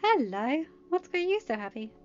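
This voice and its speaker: A woman's voice: high voice, big pitch swings, normal speed, muffled recording, pitched above the performer's own voice. Narrator as a fond, composed woman. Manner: fond, kindly, informative.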